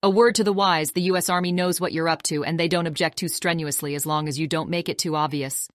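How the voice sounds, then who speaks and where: A woman's voice: fairly low pitch, fairly even intonation, fast, no echo, clean studio sound, natural voice. An actress playing a businesslike female former army officer in an audiobook drama. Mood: matter-of-fact.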